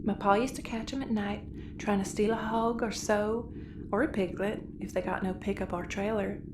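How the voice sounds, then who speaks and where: A woman's voice: mid-range voice, fairly even intonation, moderate pace, natural voice. A woman playing a folksy, cheerfully cruel cannibal with a Southern US accent in a sci-fi audio comedy. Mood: folksy menace. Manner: folksy drawl, matter-of-fact menace. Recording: roomy, noisy ambience.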